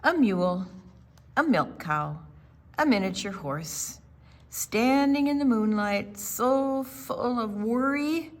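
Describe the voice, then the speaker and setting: A woman reading a picture book aloud to young children in a deliberate, storytelling way, with asides to her listeners. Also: a little high, expressive. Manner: slow, deliberate phrasing with questions and asides to the listeners. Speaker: library storytime reader. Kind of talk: expressive read-aloud narration for young children.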